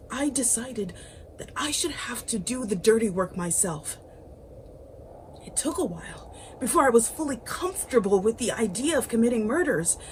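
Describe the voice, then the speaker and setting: Woman acting an unhinged young female killer, noisy recording. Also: medium pitch. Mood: darkly gleeful. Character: unhinged young woman thrill-seeker confessing murderous plans, darkly gleeful. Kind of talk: dramatic monologue narration.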